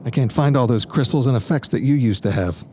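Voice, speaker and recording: Man acting smug, mellow-voiced magician. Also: mid-range voice, quick pace, a little hiss or hum, close to an everyday voice. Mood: coaxing. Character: smug, egotistical, coaxing male magician, former stage star. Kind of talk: audio drama acting.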